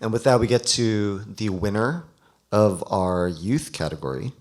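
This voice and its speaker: A man hosting a live awards event, announcing in an informal, halting way and correcting himself as he goes. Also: fairly low pitch, expressive. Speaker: awards ceremony host. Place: live event before an audience.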